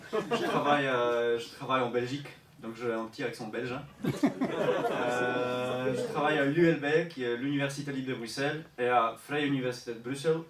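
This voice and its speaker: A Polish man speaking French as an invited researcher at a conference, self-deprecating and hesitant, laughing easily. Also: mid-range voice. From Poland. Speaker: invited researcher, urban geographer. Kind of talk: conference talk. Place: conference room. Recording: roomy hall, far from mic, noise behind.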